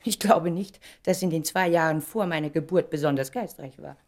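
A woman's voice: deep voice, normal speed, a little mellow. A woman playing a vain, wounded young stage star in a German radio play, speaking in a dramatic, self-important and indignant way.

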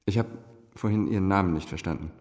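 Wealthy family man in radio drama: very deep, controlled, easily indignant, long room reverb. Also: fast talker, darkish tone. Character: wealthy businessman father, guarded, indignant when questioned. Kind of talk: German radio drama acting.

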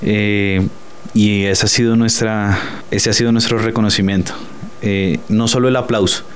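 A man's voice: lowish voice, slight background noise. A Colombian man, a theatre director, speaking spontaneously and earnestly in an interview, often adding short tag questions.

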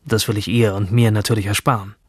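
A man's voice: fairly low pitch, flat delivery, quick pace, heavy background noise, close to an everyday voice. An actor playing an obsessive, rebellious male hacker from a virtual future world, narrating a radio drama in the first person. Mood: confident. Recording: music under the voice.

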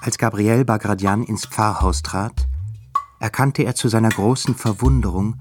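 A man giving scripted literary narration in a radio drama. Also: fairly low pitch.

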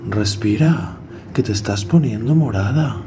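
Radio drama: boastful, earnest antimilitarist passenger played by a man, lower register, lecturing, clear noise behind. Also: animated, a little slow, dark tone. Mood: earnest, assured. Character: self-assured, earnest male antimilitarist train passenger. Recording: clearly noisy background.

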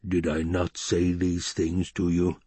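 A man voicing a menacing obese baron who speaks slowly in a silken tone and then roars. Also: deep voice, a little mellow, breathy edge, no room sound. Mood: silken menace.

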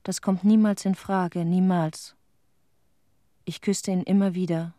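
Actress as a grieving widow, close and dry. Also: female voice, on the low side, steady tone, a little slow, warm tone, no room sound, close to an everyday voice. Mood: haunted grief. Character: grieving widow recalling her husband's death, tender, haunted. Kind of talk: intimate radio play monologue.

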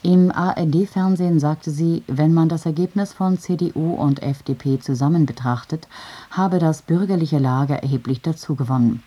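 A woman's voice: low-pitched, moderate pace, mellow, breathy edge. A woman with a standard German accent reading scripted radio news. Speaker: radio newsreader.

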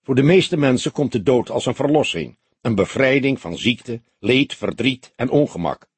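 A man's voice: mid-range voice, expressive, normal speed, slightly rough, no room sound, pitched above the performer's own voice. A man voicing a young detective in an audiobook, a blunt, sceptical, grumpy sidekick who talks in a mocking, challenging way. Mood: scornful. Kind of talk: audiobook character dialogue.

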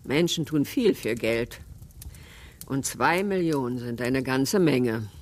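An actor playing a calm, calculating man in a radio crime drama, speaking in a measured, persuasive way. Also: medium pitch, close to an everyday voice. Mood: soothing, probing. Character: calm, calculating man pressing a quiet bargain.

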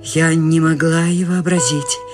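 An eighty-year-old lady, serene and whimsical, in a radio drama. She speaks slowly and with gentle amusement. Music plays softly behind her. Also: sits low. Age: 80s. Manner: calm, gently amused, unhurried. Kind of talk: radio play acting.

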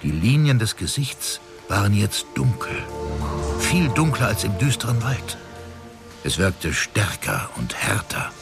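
A man's voice: lowish voice, sing-song, measured pace, gravelly, full character voice. A man narrating a fantasy audio drama in a scripted storytelling style. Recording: prominent music under narration.

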